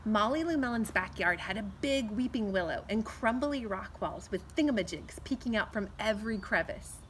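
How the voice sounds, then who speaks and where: A woman's voice: medium pitch, expressive, normal speed, fairly bright tone. A middle-aged American woman reading a children's picture book aloud outdoors, expressively drawing out words and adding asides for young listeners. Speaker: teacher reading aloud to pupils. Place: outdoors in a backyard patio. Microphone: camera a few feet away. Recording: faint outdoor background noise.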